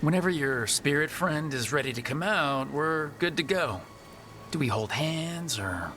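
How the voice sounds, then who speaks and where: A man's voice: a little high, lively intonation, measured pace, bright, forceful tone, soft background music. A male actor playing an easygoing, supportive party leader in a video game, casual and a little wry.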